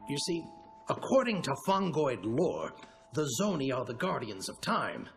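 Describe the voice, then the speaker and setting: A man voicing a dignified older alien general and mentor in a video game cutscene, speaking in a formal, measured way. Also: mid-range voice, sing-song, vocal fry. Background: game score music.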